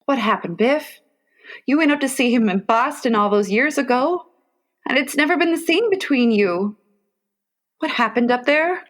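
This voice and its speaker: A woman's voice: sits high, animated, normal speed, a bit bright. A woman playing a fretful, long-suffering mother of grown sons in a comedic radio play, exasperated and melodramatic. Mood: wistful, concerned.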